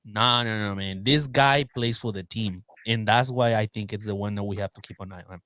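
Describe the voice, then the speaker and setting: A man in the middle pitch range makes an insistent, emphatic point on a podcast panel. The recording is dry and close. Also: moderate pace, pushed and bright, dry recording. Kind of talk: casual podcast discussion.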